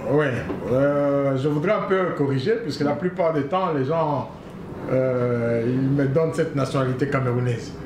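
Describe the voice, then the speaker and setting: A man from Congo tells his story as an interview guest, earnest and halting, often repeating himself. His voice sits at an ordinary pitch. It sounds like an echoey room with a faint hiss underneath. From Congo (DRC). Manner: earnest, repeats phrases, many hesitations. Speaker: guest, association founder. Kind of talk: interview, telling a personal story.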